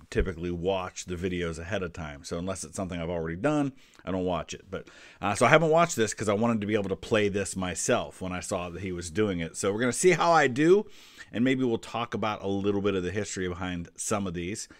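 A man's voice: low voice, no echo, studio quality. A man in his forties giving casual, conversational reaction commentary, thinking aloud. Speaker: history YouTuber reacting to a quiz.

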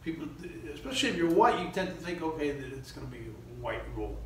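A man's voice: a little high, big pitch swings, quick pace. Middle-aged Miami man in a very echoey room. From Miami, United States. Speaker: actor, interview guest. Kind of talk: conversational interview answers. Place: small indoor room. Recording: echoey room, distant mic, faint noise.

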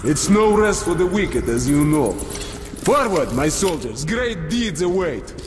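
A man's voice: on the high side, big pitch swings, slow pace, slight background noise. An actor playing a stern colonel in a video game, giving orders to his squad with commanding authority. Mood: stern, wry. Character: stern male colonel leading a soldier squad. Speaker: military squad leader, colonel. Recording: echoey hall, loud game music behind.

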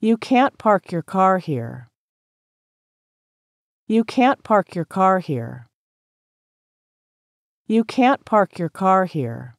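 A woman with an American accent reading English practice sentences clearly and carefully, repeating each one for learners to copy. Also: mid-range voice, sing-song, very slow, long pauses, no room sound, clean studio sound.

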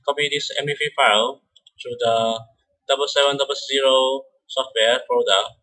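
A man with a bright, forward voice at a medium pitch walks through software step by step. He is a non-native English speaker, and his delivery is halting, with repeated words. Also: steady tone, rough recording. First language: not English. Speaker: software tutorial presenter. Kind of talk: step-by-step screen-recorded software tutorial.

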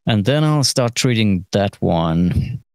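A middle-aged man thinking aloud through an informal studio tutorial, halting and musing, with trailing phrases. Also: fairly low pitch, expressive, a little mellow, smooth, no room sound. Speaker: mixing engineer giving a lesson. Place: recording studio, seated at desk.

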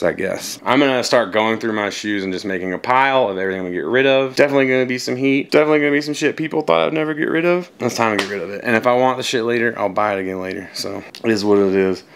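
A man in his thirties vlogging in casual, rambling chat, swearing offhandedly, filmed on a handheld camera close up. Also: lowish voice. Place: living room couch, also a gym store. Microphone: handheld camera close to face.

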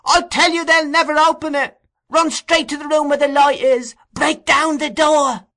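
A male narrator acts out a young boy in a storybook, terrified yet defiant. The voice leaps very high and shrill, forceful and piercing, with frantic, emphatic cries. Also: lively intonation, measured pace, breathy edge, exaggerated voice. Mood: screaming. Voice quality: shrill, shrieking.

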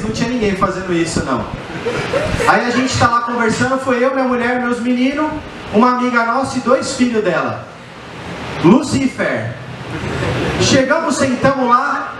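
A man's voice: extremely high pitch, recorded from a distance. A middle-aged man with a Brazilian accent doing colloquial, crude stand-up storytelling into a handheld microphone on a small stage. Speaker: stand-up comedian. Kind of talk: stand-up comedy storytelling to a live audience. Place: small indoor bar stage. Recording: very echoey live venue with faint background noise.

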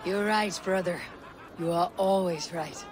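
Resolute Viking warrior. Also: male voice, high voice, a little slow. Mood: warm respect. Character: proud, determined young Viking warrior. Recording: outdoor ambience, noise and crowd behind.

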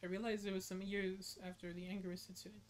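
A woman's voice: mid-range voice, level tone, fast talker, slight background noise. A young woman streamer giving casual reaction commentary and reading captions aloud.

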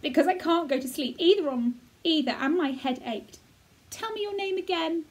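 A woman voicing a sickly, bitter bedridden young boy who speaks slowly and plaintively. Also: very high voice, darkish tone, voice pushed up.

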